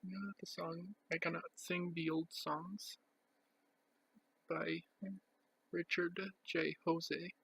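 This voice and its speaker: A man giving a casual show-and-tell as a collector, speaking in a halting, piecemeal way with pauses. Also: a little high, level tone, creaky, fairly bright tone, husky, poor audio. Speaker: record collector presenting his collection.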